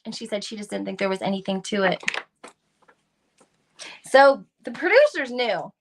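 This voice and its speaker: A woman's voice: medium pitch, very animated, normal speed, background noise. A woman hosting a gossip video, giving casual, opinionated commentary to viewers in an emphatic, informal way. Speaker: reality TV gossip video host.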